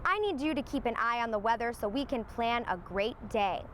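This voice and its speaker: A woman's voice: on the high side, lively intonation, brisk, slight background noise, lightly acted. A woman voicing an earnest male frog who is the town mayor in a children's storybook. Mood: confiding, earnest.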